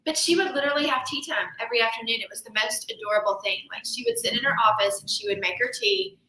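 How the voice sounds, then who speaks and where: A woman co-hosting a casual chat, animated and quick to act out quotes. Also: fairly high pitch. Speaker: podcast co-host. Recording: echoey room.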